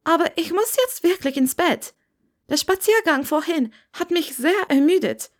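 A woman's voice: very high voice, animated, a little slow, voice pushed up. A woman voicing a polite, awkward young witch in a storybook reading, hesitant and evasive. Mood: polite, weary. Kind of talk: audiobook character dialogue.

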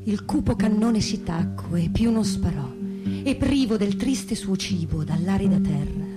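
A woman's voice: mid-range voice, measured pace. A woman delivering storytelling verse in a rhythmic, song-like way. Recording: plucked guitar loud behind voice. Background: loud guitar music.

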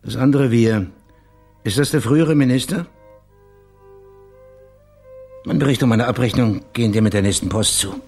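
A man's voice: medium pitch, brisk, gravelly, a little mellow, slightly rough, soft background music. An actor playing a wry, laconic private investigator and former policeman in a radio crime drama. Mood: dry, defiant. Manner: dry, laconic, understated. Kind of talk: radio crime drama acting with narrated inner monologue.

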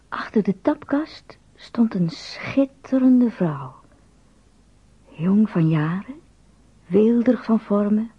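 Very breathy, slow storyteller. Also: female voice, mid-range voice, dry recording, full character voice. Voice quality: breathy, whispery. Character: hushed, savouring female storyteller. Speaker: narrator. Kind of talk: scripted radio drama narration. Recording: dry, dull recording.

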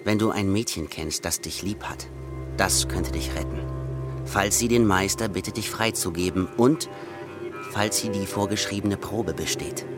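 A man acting a shrewd mill apprentice who poses as a fool in a radio play. The voice is low, deliberate and knowing, with a secretive air. Music plays clearly behind him. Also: close to an everyday voice. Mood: grave, confiding.

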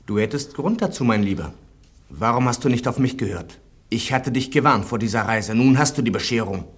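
Cautious young officer friend: sober, doubtful radio drama delivery, husky medium-pitched voice. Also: male voice, animated, a little fast. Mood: reproachful, concerned. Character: sceptical, cautious young lieutenant, a concerned friend.

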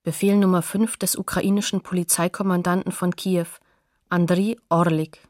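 Lowish-voiced, factual woman announcer. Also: flat delivery, a little mellow, no room sound, studio quality. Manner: factual, measured. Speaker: announcer of sources in radio play. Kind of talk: scripted documentary narration.

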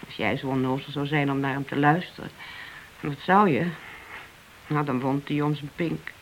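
A man's voice: a little high, steady tone, normal speed, a bit bright. A man playing a concerned, frank and probing spouse of a policeman in a Dutch radio drama. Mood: worried, probing. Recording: hissy old radio play recording.

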